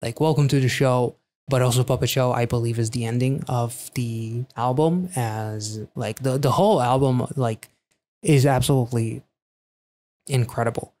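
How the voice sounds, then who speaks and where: Guy in his 30s doing a casual reaction, rambles and thinks out loud, close studio mic, medium pitch. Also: steady tone. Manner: halting, rambling, thinking aloud. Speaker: reaction video host. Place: small quiet indoor home studio. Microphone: close studio microphone.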